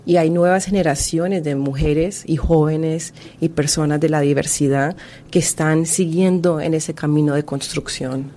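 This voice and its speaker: Low mellow-voiced woman historian. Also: steady tone. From Colombia. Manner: earnest, measured, with restarts. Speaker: guest author and historian. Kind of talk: radio interview answers.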